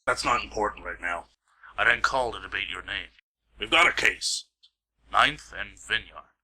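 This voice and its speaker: A man playing a brisk, dry police officer in an amateur radio play, clipped and procedural. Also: on the low side, lively intonation, sharp tone, full character voice. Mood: impatient.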